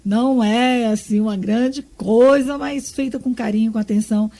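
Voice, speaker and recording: A woman's voice: a little high, steady tone, moderate pace. Effusive woman hosting a radio interview. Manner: warm, effusive. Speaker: radio host.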